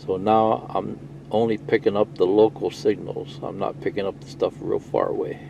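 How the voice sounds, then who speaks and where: A man's voice: on the low side, flat delivery, normal speed, creaky, warm tone, highs cut off, slight background noise. A middle-aged man giving a casual, rambling, plain-spoken hands-on walkthrough of a gadget, explaining as he goes. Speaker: CB radio video presenter.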